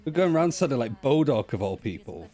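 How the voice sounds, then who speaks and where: A man's voice: medium pitch, big pitch swings, fairly quick, mellow, soft background music. A man co-hosting a stream who jokes and swears in casual chat. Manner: joking, swears. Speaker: stream co-host.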